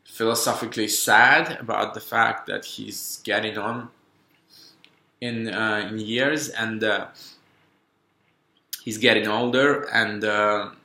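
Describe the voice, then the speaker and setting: A man in his thirties talking through a rambling solo podcast monologue, halting and musing, full of restarts and fillers. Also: fairly low pitch, steady tone, vocal fry, pushed and bright. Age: 30s. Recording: echoey room.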